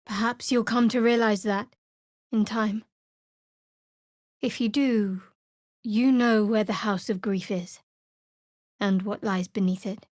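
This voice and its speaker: An actress playing a guarded, wry young cleric of darkness in a video game, speaking in a measured way. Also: female voice, mid-range voice, fairly even intonation, darkish tone, dry recording, clean studio sound, natural voice. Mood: solemn.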